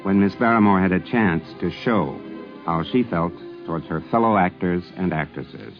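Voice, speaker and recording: A man with a fairly low voice narrates a scripted radio documentary, formal and measured. The sound is muffled and vintage, with faint music. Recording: dull 1950s radio sound.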